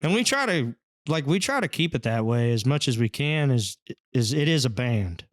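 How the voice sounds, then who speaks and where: A man's voice: mid-range voice, very animated, moderate pace, no echo, clean studio sound. A man from Texas, a band's lead singer talking in a casual, modest, plain-spoken way as a podcast guest. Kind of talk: casual chat.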